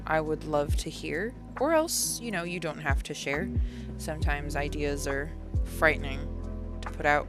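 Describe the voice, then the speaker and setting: Woman, 30s, low voice, casual rambling stream chat, self-amused, music behind.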